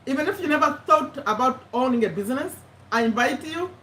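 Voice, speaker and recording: A woman's voice: high voice, animated, brisk, fairly bright tone. A woman, chatting casually to viewers on a live stream, often correcting herself and laughing. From Uganda. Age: 50s. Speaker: YouTube live host, business owner. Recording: echoey room, mic at a distance, faint ambience.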